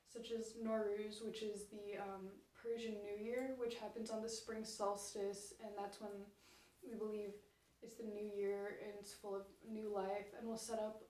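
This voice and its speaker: A woman's voice: mid-range voice, dark tone. A young woman, a college student, answering interview questions casually with many fillers. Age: young adult. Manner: casual, frequent "like" and "um". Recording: echoey room, voice from far off.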